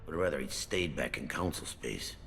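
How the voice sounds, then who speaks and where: Bitter, forceful colony mechanic. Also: male voice, a bass voice, moderate pace, gravelly, in a large hall, a little hiss or hum, exaggerated voice. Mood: bitter, suspicious. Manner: grumbling, agitated. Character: bitter, suspicious male colony mechanic, rattled and resentful. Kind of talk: video game acting.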